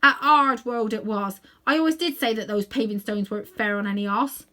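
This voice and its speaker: A woman's voice: a little high, normal speed, pushed and bright. A woman voicing a plain-spoken working-class cabbie man in a children's storybook, chatty and emphatic. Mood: rueful. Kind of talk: children's storybook character reading.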